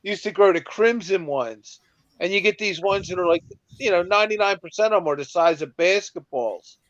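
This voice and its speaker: A man's voice: high voice, moderate pace, fairly bright tone, no echo, lo-fi sound. A man from Pennsylvania, a guest on a livestream chat, telling long rambling stories. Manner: rambling storyteller. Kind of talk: casual group chat on a livestream.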